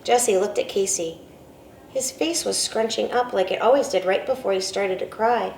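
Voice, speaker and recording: A woman, a school principal, reading a picture book aloud in an expressive storytelling way. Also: mid-range voice, normal speed, vocal fry, slight background noise. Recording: roomy, from a distance, faint ambience.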